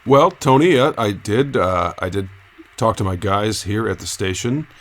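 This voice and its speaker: An actor playing a cautious, conciliatory local radio reporter in an audio drama, speaking in a halting, placating way. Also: male voice, fairly low pitch, fairly bright tone, slight background noise, natural voice. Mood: careful, placating. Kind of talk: naturalistic audio drama acting.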